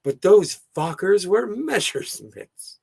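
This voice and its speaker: Male streamer, hoarse with gravelly fry, animated intonation, casual and digressive, reading chat comments aloud. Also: high-pitched, takes it slowly. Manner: rambling, digressive, easily sidetracked. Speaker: livestream host.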